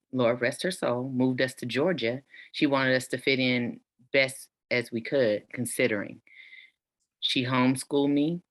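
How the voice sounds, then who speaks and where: An elderly grandmother with an extremely low voice, played by a woman in a table read. She is a courteous hostess with a sinister edge, bossy and slow in her commands. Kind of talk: virtual table read acting.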